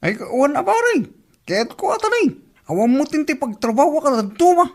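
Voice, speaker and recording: Male actor as chummy village drinking mate, Ilocano accent, animated swinging pitch, comedy radio drama. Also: a little fast, full character voice. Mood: friendly, insistent. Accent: Filipino, Ilocano.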